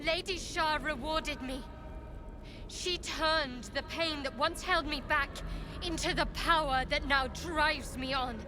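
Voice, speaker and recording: A proud, hardened young priestess from a fantasy video game. Her voice sits high and she speaks slowly and deliberately, solemn and haughty. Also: music in the background. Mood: solemn resolve. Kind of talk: dramatic fantasy game acting.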